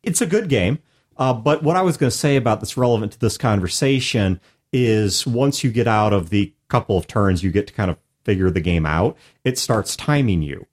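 Low-voiced man, measured and expressive. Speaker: podcast co-host. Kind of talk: casual podcast chat.

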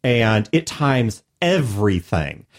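A man co-hosting a casual podcast chat, explaining things in a thoughtful, measured way. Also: on the low side, sing-song. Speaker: podcast co-host.

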